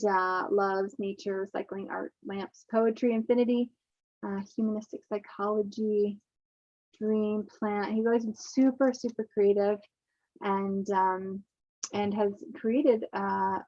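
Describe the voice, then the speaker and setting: A woman hosting an online session, introducing a guest in a halting way with many fillers, over a video call. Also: mid-range voice, no room sound. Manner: warm, halting, lots of fillers. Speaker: festival session host. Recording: dull, dry lo-fi call audio.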